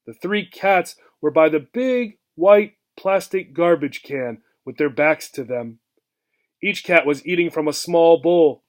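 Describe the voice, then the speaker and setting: Higher-pitched man reading a children's book. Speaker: reader, teacher. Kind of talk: expressive children's book read-aloud narration.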